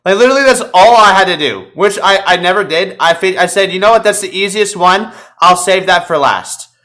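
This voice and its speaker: A man chatting casually about video games as a podcast host, telling animated stories with plenty of swearing. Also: high voice, fairly quick. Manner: profane, animated storytelling.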